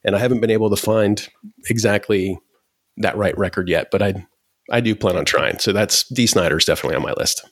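A man in his forties, a podcast host, chatting casually in a deadpan way with halting restarts. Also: fairly low pitch, dry recording, clean studio sound. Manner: halting, many restarts and fillers. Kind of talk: casual conversation.

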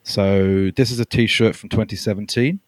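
A man born in Australia, a singer-songwriter guest, talking softly and a little hesitantly in a relaxed interview. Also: lowish voice, moderate pace, no echo. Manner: soft-spoken, hesitant, fond. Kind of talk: casual podcast interview.

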